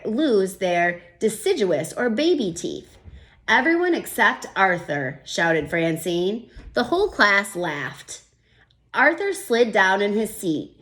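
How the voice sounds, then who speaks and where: A woman reading a children's picture book aloud and stopping to explain her thinking, like a teacher. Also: medium pitch. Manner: gentle, expressive storybook reading with thinking-aloud asides. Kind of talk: children's read-aloud with comments.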